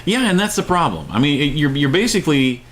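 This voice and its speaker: A man's voice: a little high, big pitch swings, quick pace, fairly bright tone. A middle-aged man co-hosting a casual opinion show, talking emphatically and repeating himself for stress. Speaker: co-host of a show. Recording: clear background noise, room ambience.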